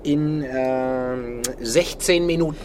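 An actor playing a naive, wide-eyed junior police officer in a radio crime drama. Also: male voice, mid-range voice, takes it slowly, close to an everyday voice. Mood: puzzled. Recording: noisy vehicle ambience.

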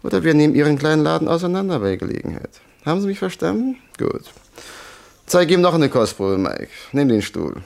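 A man's voice: fairly high pitch, sing-song, brisk, vocal fry, a little mellow. A man acting a menacing, mock-polite gangster in a German crime radio play, taunting as he threatens. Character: menacing, mocking gangster henchman leader threatening a shopkeeper.

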